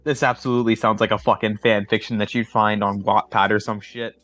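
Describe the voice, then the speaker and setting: A man hosting a casual group livestream as a contest judge, talking in a jokey, sweary way with theatrical pauses. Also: lowish voice, level tone, a bit bright, no room sound, faint music behind. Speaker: host and judge of a fanfiction contest stream.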